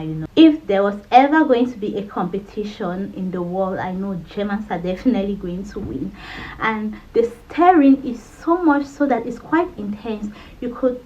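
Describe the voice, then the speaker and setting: A woman's voice: medium pitch, darkish tone. Woman from Cameroon vlogging candidly, muffled sound. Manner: candid, conversational, frequent "you know". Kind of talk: personal vlog monologue.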